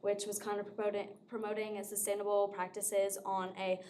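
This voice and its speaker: A teenage girl from Colorado pitches a business idea in an even, mid-range voice. She speaks conversationally with many fillers. A faint background hiss runs underneath. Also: moderate pace. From Durango, Colorado. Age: teens. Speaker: student presenter. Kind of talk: pitch presentation.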